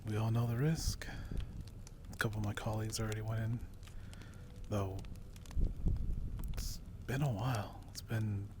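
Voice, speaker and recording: A man voicing a brave but wary barbarian recruiter in a dark fantasy ASMR roleplay, speaking in a hushed, halting way. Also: lowish voice, steady tone, noisy background, lightly acted. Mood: uneasy. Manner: slow, hushed, halting delivery with pauses. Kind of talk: ASMR roleplay, whispered and softly spoken.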